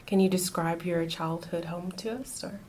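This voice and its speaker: A woman's voice: on the low side, level tone, normal speed, noisy background. A woman interviewing someone for an oral history project, asking short, polite questions.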